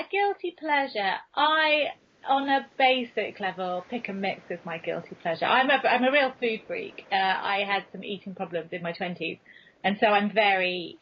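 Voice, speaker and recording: A woman's voice: mid-range voice, slight background noise. An English woman chatting casually and frankly as an interview guest, self-deprecating in the way she talks. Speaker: guest author being interviewed. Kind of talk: casual chat. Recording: dull, lo-fi early podcast recording.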